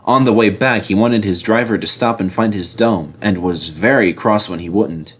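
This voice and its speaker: A man narrating a children's storybook in an expressive, scripted reading style. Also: on the low side, normal speed, husky.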